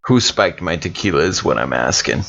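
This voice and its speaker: A man's voice: low-pitched, animated, normal speed, creaky, a bit bright, muffled recording. A man playing a tabletop game in character, chatting casually in slangy, laid-back wording.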